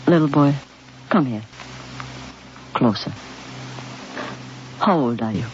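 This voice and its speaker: An actress in a 1950s radio drama playing a poised, persuasive baroness spy who talks in a coaxing, theatrical manner. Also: female voice, very deep voice, lively intonation, slow, darkish tone, a little hiss or hum, exaggerated voice. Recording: dull old radio recording with faint music.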